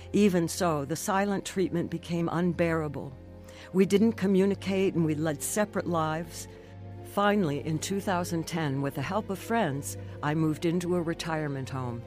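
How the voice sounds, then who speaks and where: An actress playing an earnest older woman narrating her life story in a measured radio drama style. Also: on the low side, a little mellow, natural voice.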